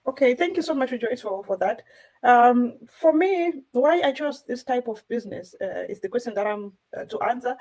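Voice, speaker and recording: A woman's voice: fairly high pitch, lively intonation, moderate pace, a little mellow, poor audio. A woman speaking earnestly and emphatically as a co-host on a discussion panel. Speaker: co-host and business owner. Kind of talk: personal account on a discussion panel.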